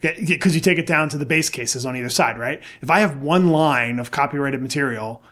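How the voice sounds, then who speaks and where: Fast-talking, insistent man in casual debate. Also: a little high, fairly bright tone. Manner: insistent, emphatic, repeats himself. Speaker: nonprofit director and podcast co-host. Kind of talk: casual debate, opinionated discussion.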